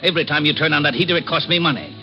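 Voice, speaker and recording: An American man playing a nasty, miserly boss, grumbling gruffly and slangily in 1950s radio comedy style. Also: on the high side, fairly even intonation, rapid-fire, faint music behind, lightly acted. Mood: scolding. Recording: dull old radio recording.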